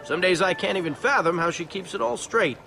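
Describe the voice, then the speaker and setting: A man's voice: high voice, fairly quick, gravelly, noisy background. An actor playing a proud, earnest male guard captain in a video game, speaking formally. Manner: formal, proclaiming. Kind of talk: animated game voice acting.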